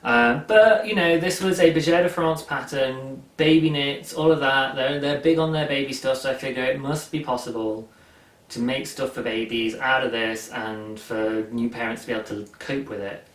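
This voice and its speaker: A man's voice: mid-range voice, steady tone, normal speed, distant mic. A young man hosting a knitting video podcast from his sofa, chatting in a rambling, self-amused way with plenty of ums. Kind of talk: casual chat. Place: living room, seated on a sofa. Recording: echoey room, voice slightly off-mic.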